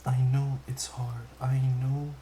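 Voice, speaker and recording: A young man playing a tender, doting boyfriend in an intimate comfort roleplay, speaking softly and soothingly with repeated reassurances. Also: medium pitch, flat delivery, takes it slowly, slight background noise, close to an everyday voice. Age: young adult. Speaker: boyfriend roleplay audio performer. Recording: roomy echo, faint background ambience. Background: rain sounds.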